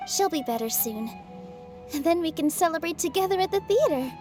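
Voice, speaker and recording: A modest young dancer in a game, high light voice, soft and polite, game music behind. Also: female voice, animated, fairly quick. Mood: gentle. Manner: soft-spoken, polite and self-effacing. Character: gentle young female dancer, kind and modest. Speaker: game character.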